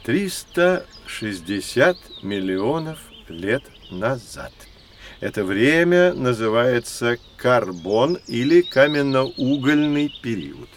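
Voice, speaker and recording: Learned professor, medium pitch, kindly, explanatory, gently playful, children's educational audio drama, faint bird ambience. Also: male voice, sing-song, slow, slight background noise. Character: kindly, learned male professor guiding children through prehistory.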